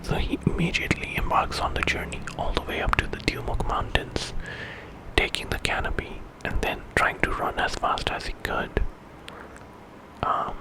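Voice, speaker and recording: A man telling a bedtime story in ASMR style, in a breathy whisper and an informal, conversational way. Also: moderate pace, poor audio. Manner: informal retelling with quoted dialogue.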